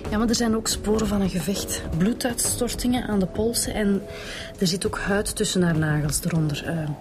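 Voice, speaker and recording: An actress playing a matter-of-fact Flemish detective, methodical with small hesitations, in a naturalistic radio drama. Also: female voice, medium pitch, moderate pace, over music, lightly acted. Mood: businesslike. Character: matter-of-fact female detective reporting case details.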